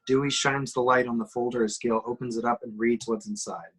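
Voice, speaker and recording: A man's voice: medium pitch, steady tone, brisk, fairly bright tone, breathy edge. A man reading screenplay action descriptions aloud at a table reading.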